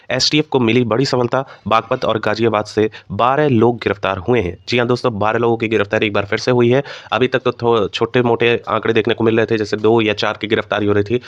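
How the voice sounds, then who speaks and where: A man's voice: medium pitch, no echo, dull audio. A man hosting an informal exam news update, explaining things to students and mixing in English terms. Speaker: host of an exam news channel.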